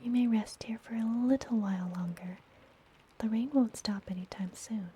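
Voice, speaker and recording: A woman playing a friendly, mysterious witch healer in a whispered ASMR fantasy roleplay, soothing and gently teasing. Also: a little high, slightly rough. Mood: gentle, caring. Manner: soothing, unhurried, softly whispered.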